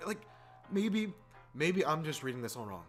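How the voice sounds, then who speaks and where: A man's voice: on the high side, very animated, normal speed, a bit bright. A man hosting a casual opinion rant, exasperated and informal, repeating words for emphasis. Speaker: YouTube host. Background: background music.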